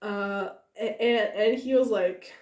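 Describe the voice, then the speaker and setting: A woman's voice: mid-range voice, slow. Giggly, rambling young woman in an echoey room. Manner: giggly, many fillers and restarts, excited asides. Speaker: podcaster talking about a book. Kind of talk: casual rambling monologue.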